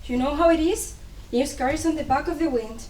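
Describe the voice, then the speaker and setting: Woman as lively, reproachful Cuban confidante for stage drama; distant, reverberant pickup with slight noise. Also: high-pitched, fairly quick, lightly acted. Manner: chiding, mixes in Spanish endearments. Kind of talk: dramatic play reading. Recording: echoey room, distant mic, faint noise.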